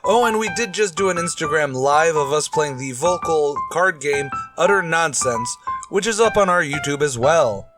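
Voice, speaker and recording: A man's voice: on the high side, lively intonation, normal speed. A man hosting a podcast, giving an outro announcement in a chatty, playful way. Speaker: announcer and host. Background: music.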